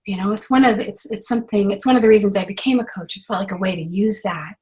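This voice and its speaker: A middle-aged woman with a hoarse voice from a cold, hosting a casual branding call and chatting with many fillers and restarts. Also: mid-range voice, quick pace, darkish tone. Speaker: branding coach hosting a clinic.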